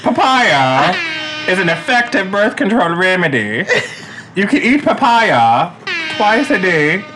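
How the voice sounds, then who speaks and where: A man's voice: sits high, big pitch swings, slow pace, over music, voice pushed up, exaggerated voice, low-quality recording. A man putting on a sing-song mock Jamaican-Irish accent while reading aloud. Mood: mock-serious.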